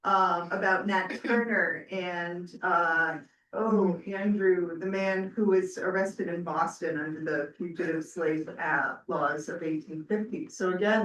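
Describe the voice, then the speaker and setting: A woman moderating an event in a conversational, halting and reflective way. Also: fairly low pitch. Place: indoor event room. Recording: echoey room, distant mic, faint noise.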